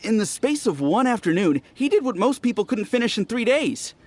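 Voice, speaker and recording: A chatty gossiping villager. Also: male voice, high-pitched, brisk. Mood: gossipy. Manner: storytelling, animated. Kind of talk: video game voice acting. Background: game music.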